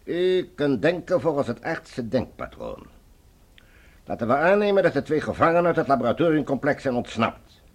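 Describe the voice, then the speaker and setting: Chilly Mars ruler for radio drama: measured commands, medium register, rough-edged husky tone. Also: male voice, medium pitch, big pitch swings. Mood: calculating. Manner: authoritative, measured, condescending. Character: cold, commanding male ruler of a Mars colony. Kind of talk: science fiction radio drama acting.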